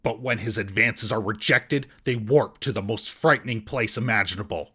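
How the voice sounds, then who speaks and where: A man's voice: on the low side, normal speed, heavy background noise. A man narrating a scripted comedic movie roast as host, in a sarcastic, mocking manner.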